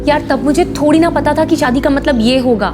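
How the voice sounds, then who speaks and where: Casting: actress for spoiled, discontented young wife in a TV serial, high-pitched, demanding, strong music underneath. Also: female voice, fairly quick, natural voice. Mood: sulky. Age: young adult. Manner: complaining, mixes in English phrases. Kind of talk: Hindi TV crime serial acting. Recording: dramatic background music.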